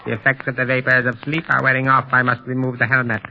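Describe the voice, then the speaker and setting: A man's voice: mid-range voice, completely flat delivery, vocal fry, a little hiss or hum, lightly acted. An actor playing a menacing, loyal henchman who speaks in stilted formal sentences in a 1930s radio serial. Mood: watchful. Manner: stilted, formal, measured threats. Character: cold, menacing henchman loyal to his master. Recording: muffled 1930s radio recording.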